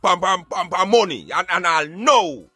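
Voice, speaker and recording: A man's voice: sits high, normal speed, bright, forceful tone, breathy edge, no room sound, soft background music. A Jamaican man arguing emphatically in Patois, in an impassioned debate. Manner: emphatic, argumentative, speaking in Patois. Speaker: radio show co-host.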